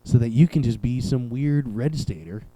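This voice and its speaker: A man's voice: medium pitch, normal speed, dark tone, no room sound, low-quality recording. A young man hosting his own podcast alone, riffing in a sarcastic, rambling way with plenty of profanity. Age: young adult. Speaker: podcast host. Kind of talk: solo comedic podcast rant. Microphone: camera and podcast mic.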